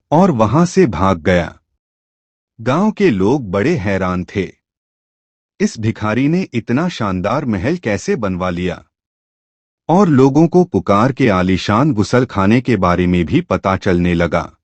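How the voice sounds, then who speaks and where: A man narrating a moral folk tale in an animated storytelling style. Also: on the low side, no echo.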